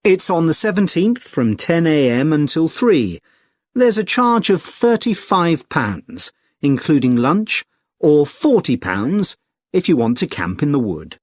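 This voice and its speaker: A man with a British accent playing a friendly, helpful club secretary in a clearly enunciated scripted listening-test conversation, polite and explanatory. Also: on the high side, big pitch swings, measured pace, no echo, studio quality. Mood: helpful, informative. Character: friendly, helpful male secretary of a conservation group. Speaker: actor in a listening test dialogue.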